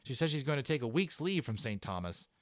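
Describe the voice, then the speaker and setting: A man's voice: mid-range voice, very animated, a little fast, no room sound, clean studio sound, natural voice. A man voicing an eager, friendly schoolboy in a storybook, with a casual, chatty manner. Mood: chatty, amused.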